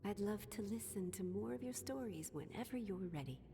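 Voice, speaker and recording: A woman voicing a gentle, soothing mother comforting her son in a game. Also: mid-range voice, animated, a little fast, creaky, husky, long reverb tail, heavily acted.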